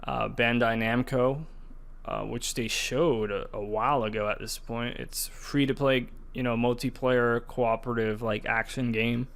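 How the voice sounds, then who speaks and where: A man with a smooth, clear voice at an average pitch hosts a gaming podcast. He talks casually and conversationally, feeling out his sentences and often repeating a phrase before moving on. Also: steady tone, unhurried, fairly bright tone. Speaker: podcast host. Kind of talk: casual gaming news discussion.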